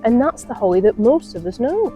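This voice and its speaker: A mellow, fairly high-voiced woman guides listeners outdoors. She explains simply and informally, with faint music behind her. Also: big pitch swings, brisk. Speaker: woodland guide. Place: outdoors in a wood.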